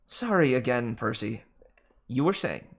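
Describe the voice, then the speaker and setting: Worldly male god for a storybook, played by a reader: chatty, mischievous, teasing, unhurried. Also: mid-range voice, big pitch swings, vocal fry, heavily acted. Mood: busy, teasing.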